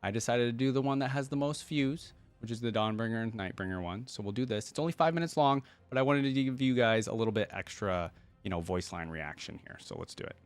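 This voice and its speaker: A man's voice: medium pitch, quick pace, smooth, no room sound, soft background music. A man giving casual reaction commentary as a host, rambling as he thinks aloud.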